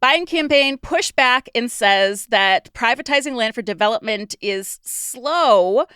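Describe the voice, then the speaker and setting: An American woman with a fairly high, very smooth and clear voice talks through the news as a podcast co-host. She explains things in an animated, conversational way, and the recording is dry and close. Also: measured pace, no echo. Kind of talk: casual news discussion.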